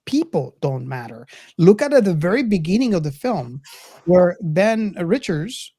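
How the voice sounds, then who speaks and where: A man's voice: high-pitched, big pitch swings, a little slow, mellow, breathy edge, no echo. A middle-aged man co-hosting a podcast in casual film discussion, reflective and often searching for his words. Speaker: podcast co-host.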